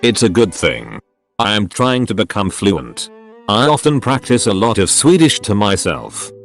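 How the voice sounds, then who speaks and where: A man reading online forum posts aloud, one after another, in a matter-of-fact way with short pauses between them. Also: lowish voice, sing-song, soft background music.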